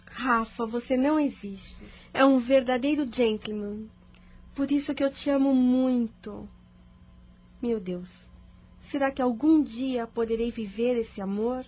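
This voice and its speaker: A woman's voice: on the high side, a little slow, darkish tone. A woman playing a devoted, anxious woman in love, tender and melodramatic, in a radio soap opera. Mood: lovestruck. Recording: noisy audio with ambient sound.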